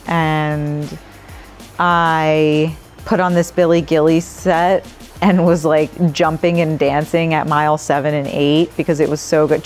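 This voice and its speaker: Low-voiced American woman recounting her race. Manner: chatty, excited, uses "like" often. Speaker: marathon runner vlogging. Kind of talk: casual vlog chat.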